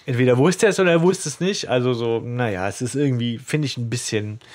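A man's voice: mid-range voice, quick pace. A man co-hosting a podcast, retelling and critiquing a story in colloquial speech with quoted lines thrown in. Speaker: podcast host.

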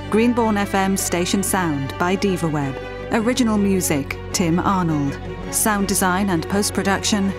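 A woman reading scripted closing credits as a radio drama announcer. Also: mid-range voice. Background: music.

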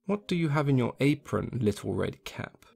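A man voicing a crafty, hungry wolf who speaks in a slow, coaxing drawl. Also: medium pitch, sing-song, gravelly, darkish tone, husky, voice pushed up, full character voice. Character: sly hungry male wolf, crafty and smooth.

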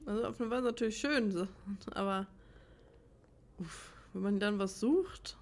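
A woman's voice: mid-range voice, unhurried. A woman giving casual gameplay commentary, rambling and thinking aloud as she plays. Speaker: let's player. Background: game music.

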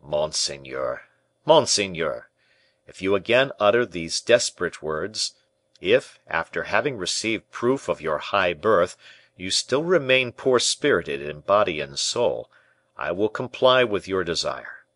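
A man reading a storybook part as a courtly, devoted conspirator, formal, deferential and persuasive in measured rhetoric. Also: low-pitched, sing-song, a bit bright, no room sound, heavily acted. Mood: firm, devoted.

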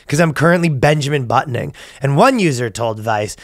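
A man's voice: mid-range voice, expressive, brisk, no room sound, clean studio sound. A man in his thirties hosting a comedy podcast, riffing casually and profanely and acting out imagined dialogue. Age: 30s. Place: small podcast studio. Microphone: close studio mic on boom arm.

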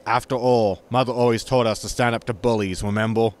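An actor playing a cocky, quarrelsome spaceship captain, blunt, irritable and informal, in a science fiction comedy audio drama. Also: male voice, mid-range voice, steady tone, a little fast, natural voice. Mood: indignant, defiant.

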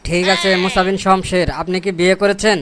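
Guy playing a self-important shady office boss in a cartoon, sly and mock formal, bangladeshi accent, high voice, talks fast. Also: level tone, fairly bright tone, a little hiss or hum. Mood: mock-formal questioning. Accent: Bangladeshi colloquial. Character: scheming, self-important corrupt boss, older brother-in-law. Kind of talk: comic cartoon voice acting in Bangla.

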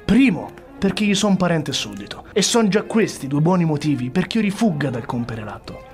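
An actor playing a tormented, ambitious noble warrior in an Italian radio drama, solemn and theatrical. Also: male voice, a little high, very animated, a little fast, a little mellow, faint music behind. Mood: brooding, conflicted.

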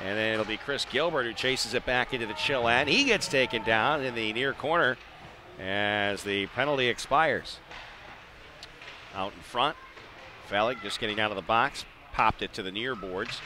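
A man calling live ice hockey play-by-play in clipped phrases, excitable when the action heats up. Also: medium pitch, normal speed. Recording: live arena broadcast with background noise.

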